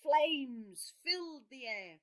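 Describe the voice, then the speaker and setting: A woman storyteller reading to children in a dramatic, deliberate and clearly phrased way. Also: sits high, expressive. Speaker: storyteller for an English school.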